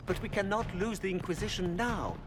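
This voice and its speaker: A high-pitched, stern statesman. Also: a little fast. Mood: urgent, stern. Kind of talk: dramatic video game acting. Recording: very loud game music behind.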